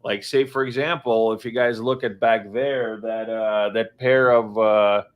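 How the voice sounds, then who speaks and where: A man's voice: lowish voice, steady tone, a little slow, dull audio. A man chatting casually from his home office, rambling with many fillers and restarts. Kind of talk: casual live-stream chat.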